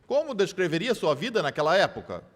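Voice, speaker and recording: An actor dubbing a sharp, persistent lawyer who cross-examines a witness with measured, theatrical questions. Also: male voice, high voice, big pitch swings, long reverb tail. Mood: probing. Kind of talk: dubbed film courtroom drama.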